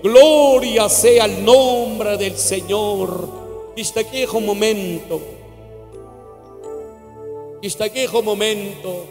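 High-pitched Guatemalan preacher man in echoing hall. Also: drawn-out words, long pauses. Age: middle-aged. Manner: emphatic, exhortatory, repeating phrases. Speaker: evangelist preacher. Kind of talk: impassioned sermon mixing Spanish with a Mayan language. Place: large church hall, indoors. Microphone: handheld microphone through PA. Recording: long hall reverb, live church amplification. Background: live music.

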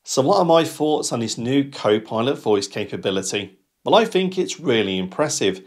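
Man, forties, tutorial host, mid pitch, highly varied intonation, presenter delivery. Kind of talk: presenter-style software tutorial to camera.

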